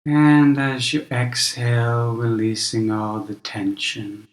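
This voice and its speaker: A man leading a guided meditation as a teacher, speaking gently and in a measured, instructional way with long pauses. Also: medium pitch, little pitch movement, echoey room.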